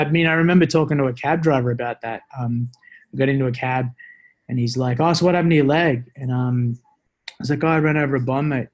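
Rambling, jokey Australian man chatting. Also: medium pitch. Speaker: interview guest, former special forces soldier. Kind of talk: casual podcast conversation, storytelling.

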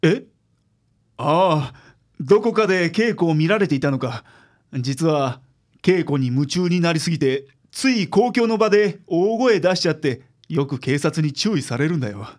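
A man's voice: fairly high pitch, animated, moderate pace, darkish tone, close to an everyday voice. A man voicing a friendly, sheepish struggling stage actor in a radio drama.

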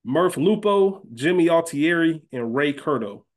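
A man's voice: fairly high pitch, lively intonation, a little slow. Informal male podcast co-host, slangy. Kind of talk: casual chat, fantasy draft banter.

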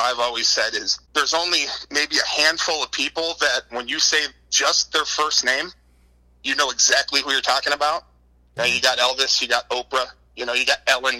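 A man's voice: mid-range voice, sharp tone, poor audio. A middle-aged man answering as an interview guest, chatting informally and telling anecdotes with lots of repeated words. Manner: wordy, informal, repeats words and says "you know" often. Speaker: interview guest, book co-author.

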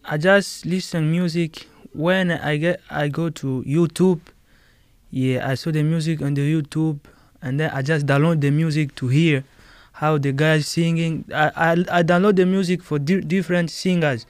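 A man with a Congolese accent answering interview questions in halting, non-native English, often repeating words and laughing. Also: on the high side, steady tone, clear, resonant tone, dry recording. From Democratic Republic of Congo. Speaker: guest musician.

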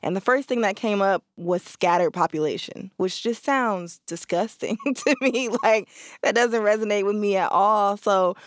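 A woman's voice: mid-range voice, normal speed, dry recording. Curious woman hosting, dry sound. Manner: curious, upbeat questioning. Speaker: host. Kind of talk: casual interview.

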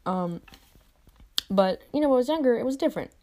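Woman, young adult, mellow warm tone, reflective, repetitive, chatty motivational vlog. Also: a little high, lively intonation, fairly quick. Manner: reflective, repeats phrases, frequent "right?" asides. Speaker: vlogger. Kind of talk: motivational monologue to camera.

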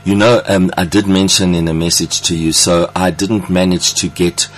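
A man's voice: deep voice, level tone, moderate pace, a little hiss or hum, poor audio. A man hosting a conversational interview in an effusive, encouraging way. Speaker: radio or podcast interview host.

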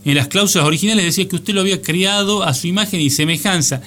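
An actor playing a sly, pompous serpent lawyer in a comedy radio play, speaking hesitant, formal legalese. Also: male voice, sits high, racing, bright, forceful tone, slight background noise.

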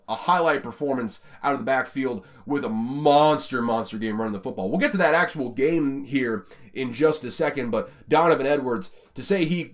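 Rambling Southern American sports host. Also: male voice, mid-range voice. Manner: rambling, filler-heavy, conversational. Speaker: college football podcast host. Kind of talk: casual sports commentary.

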